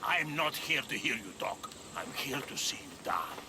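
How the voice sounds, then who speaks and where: An actor playing a wily aging former mercenary, an old friend, in a video game. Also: male voice, mid-range voice, very animated, moderate pace, background noise, natural voice. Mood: cold, vengeful.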